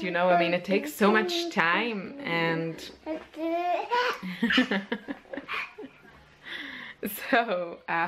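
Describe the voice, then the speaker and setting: Slow, hesitant woman vlogging. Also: lowish voice. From Israel. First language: Hebrew. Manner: slow, hesitant, many pauses and fillers. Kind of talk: casual personal chat to camera. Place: at home with her baby. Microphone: handheld compact camera.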